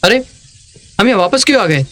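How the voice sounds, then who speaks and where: Eager assistant guy, dubbed drama, high voice, faint music. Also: lively intonation, normal speed. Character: eager, obliging male assistant.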